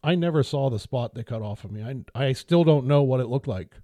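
A man on a podcast spins a rambling, self-deprecating story in a mellow, darker tone. His voice sits at a middle pitch. The studio sound is dry and close. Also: animated, fairly quick, no room sound. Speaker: podcast co-host. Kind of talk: casual storytelling chat. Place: small podcast studio around a table.

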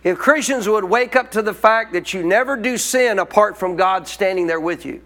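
A man with a high-pitched voice preaching a sermon. He teaches as a pastor in a loose, colloquial way. He keeps prompting the congregation to answer back. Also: moderate pace, pushed and bright. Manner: call-and-response preaching, colloquial.

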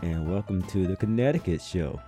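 A man's voice: low-pitched, expressive, fairly quick, dark tone, faint music behind. A man co-hosting a podcast, chatting casually in a studio. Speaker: podcast co-host. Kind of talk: casual chat.

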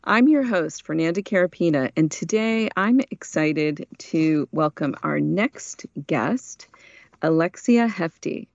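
A woman presents as a podcast host with a measured, polished delivery. Her voice is on the low side and gravelly with fry, recorded dry and close. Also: expressive, dry recording, highs cut off. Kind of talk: scripted introduction and interview.

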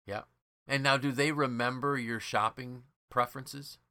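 Bright-voiced American man, podcast co-host. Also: medium pitch, fairly even intonation, normal speed. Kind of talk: casual business podcast discussion.

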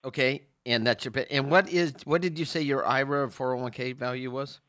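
A man co-hosting a radio finance show, chatting casually and jumping in with quick questions. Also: medium pitch, dry recording, clean studio sound. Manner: lively, interjects with short questions. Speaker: radio co-host, financial advisor.

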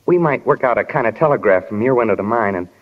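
A man's voice: on the low side, lively intonation, quick pace. An actor playing a bashful, admiring small-town boy of sixteen, hesitant and awkward, in 1940s radio drama style. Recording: dull 1940s radio recording.

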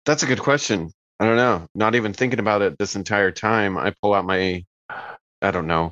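A man's voice: fairly low pitch, normal speed, fairly bright tone, no echo, lo-fi sound. A man, playing a tabletop roleplaying game in a casual, improvised way.

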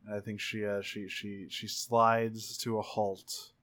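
A man with a slightly low voice narrates as a player in a tabletop game. He goes slowly, halting and repeating himself while he finds the words. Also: level tone, fairly bright tone. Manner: halting, searching for words as he improvises.

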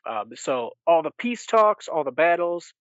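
A man's voice: a little high, sing-song, a little slow, no echo. Casual rambling man, dry slightly dull sound. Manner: rambling, self-correcting. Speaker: podcast co-host. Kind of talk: casual book recap chat.

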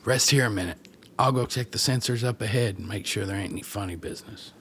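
Man acting a low-voiced, folksy backwoods guide. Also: fast, fairly bright tone, a little hiss or hum. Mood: low-key. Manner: folksy, plain-spoken, colloquial. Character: gruff rural backwoods guide, wry, unfazed, blunt. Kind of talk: radio play acting.

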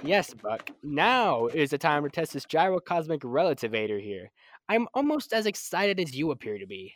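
A man acting an excitable, good-humoured scientist and doctor in an old-time radio drama. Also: fairly high pitch, normal speed, dry recording, clean studio sound. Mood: eager, businesslike.

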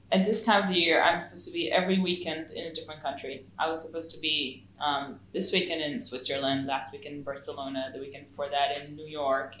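Young female musician, second-language English, low voice, easygoing chatter, roomy recording with faint hiss. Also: a bit bright. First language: not English. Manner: chatty, laughs often, lots of fillers. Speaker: saxophonist and music producer. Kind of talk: casual interview. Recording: echoey room with faint noise.

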